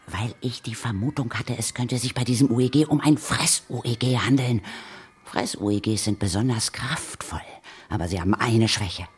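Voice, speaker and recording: A woman's voice: extremely low pitch, moderate pace, slightly rough, full character voice. An actress playing a bossy, fearless older woman who hunts ghosts in a children's audio drama, resolute and emphatic. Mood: calm, shrewd.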